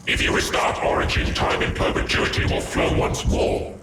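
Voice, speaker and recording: A man's voice: moderate pace, heavy background noise, lightly acted. Distant, echoing godlike male entity, voiced by an actor. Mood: ominous, fatalistic. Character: vast godlike male entity, fatalistic guardian of the present. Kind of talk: dramatic video game acting. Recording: distant, echoing, very loud rumbling ambience.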